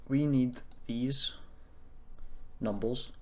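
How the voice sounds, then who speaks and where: A man giving a casual software tutorial, rambling and thinking aloud with self-deprecating asides. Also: mid-range voice, fairly even intonation, drawn-out words. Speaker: tutorial host. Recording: clearly noisy, keyboard clicks and typing. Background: background noise, computer keyboard and mouse clicks.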